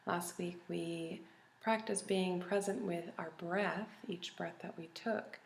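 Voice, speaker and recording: A woman leading a guided body scan meditation in a calm, gentle, soothing manner with long pauses. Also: fairly low pitch, gravelly, a little hiss or hum. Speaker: meditation teacher. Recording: roomy, echoey recording.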